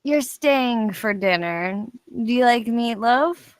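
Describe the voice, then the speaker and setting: A woman's voice: fairly high pitch, lively intonation, slow pace, clear, resonant tone, no echo. A woman playing a slightly tipsy, doting mother of fifty in a table read, affectionate and pushy. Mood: fussing, insistent. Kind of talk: live screenplay table read.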